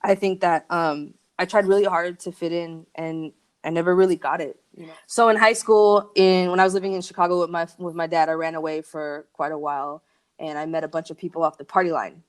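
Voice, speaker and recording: A woman's voice: fairly low pitch, fairly even intonation, a little fast. A woman from Argentina and the United States speaking as a podcast guest, telling personal stories in a casual, candid, slangy way full of likes and you-knows. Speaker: podcast guest, creative working from home.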